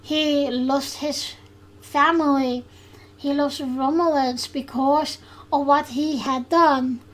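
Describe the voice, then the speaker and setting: A woman with a Dutch accent hosting a solo podcast recap, speaking haltingly with frequent pauses. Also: sits high, fairly even intonation. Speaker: podcast host.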